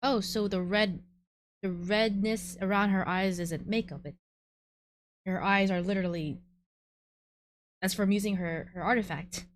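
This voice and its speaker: A woman's voice: medium pitch, steady tone, a little slow, pauses often. A woman streaming a video game, reacting casually to the story as it plays. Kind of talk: casual live playthrough reactions.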